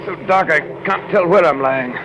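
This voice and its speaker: An actor playing a jaunty, polite English gentleman adventurer with a British accent and droll understatement, in radio serial style. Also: on the high side, moderate pace, natural voice. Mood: droll, strained. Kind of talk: 1940s radio adventure acting. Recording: noisy old-time radio recording.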